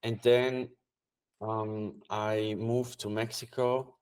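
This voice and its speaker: A man's voice: fairly low pitch, flat delivery, slow, a little mellow, smooth. Swiss man with a Swiss German accent. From Switzerland. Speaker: trader and guest. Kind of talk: casual interview chat.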